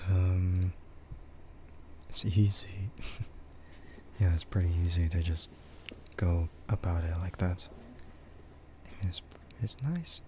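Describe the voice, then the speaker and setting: A man chatting casually and soft-spoken with his livestream viewers, rambling in a relaxed way. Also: low voice, takes it slowly, pauses often, a little hiss or hum. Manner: relaxed, soft-spoken ASMR yapping, often chuckling. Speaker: streamer, VTuber host.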